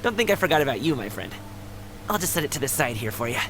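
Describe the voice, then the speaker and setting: A woman voicing a friendly, energetic and chatty young female guard in a video game, with a casual, considerate way of talking. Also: rumbling low, fast talker, slight background noise, exaggerated voice, rough recording. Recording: game music underneath.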